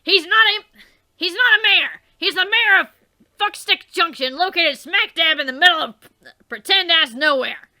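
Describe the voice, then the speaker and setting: Woman voicing a furious troll boy, loud and high, ranting and overwrought, game read-through. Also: animated, moderate pace, full character voice. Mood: indignant. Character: furious shouting male troll youth.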